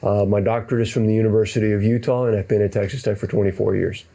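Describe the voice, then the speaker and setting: Mellow-voiced male history professor. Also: on the low side, steady tone, creaky. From Cuba, raised in Miami. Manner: deliberate, repeats words while starting. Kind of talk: panel discussion.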